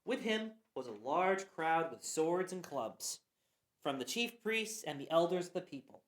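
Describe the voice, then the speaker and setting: Woman, narrator, scripture reading, animated pitch, roomy echo. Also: measured pace.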